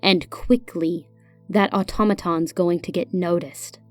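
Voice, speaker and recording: A woman playing a brisk, shrewd older woman who leads rebels in an audio drama, practical and commanding. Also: lowish voice, steady tone, darkish tone, lightly acted. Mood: urgent, commanding. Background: music.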